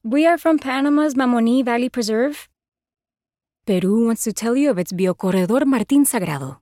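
Woman, mellow tone, fairly high, calm careful reader. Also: brisk, dry recording, studio quality. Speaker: representative. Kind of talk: scripted roll-call reading.

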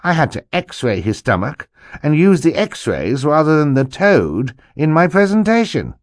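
Excitable, jovial, swooping older uncle. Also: male voice, on the high side, unhurried, no echo, studio quality, pitched above the performer's own voice. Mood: self-important. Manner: animated, emphatic, theatrical. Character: excitable, jovial, self-important older uncle, a snake scientist. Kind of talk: audiobook character voice.

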